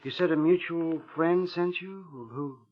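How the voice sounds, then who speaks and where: A timid, browbeaten American husband in a vintage radio drama. His voice is breathy and rough, plaintive and prone to flustered stammering. The old recording is muffled and dull. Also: on the high side, a little slow. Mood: flustered, pleading. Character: timid, browbeaten little husband being blackmailed. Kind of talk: 1940s-50s radio drama acting.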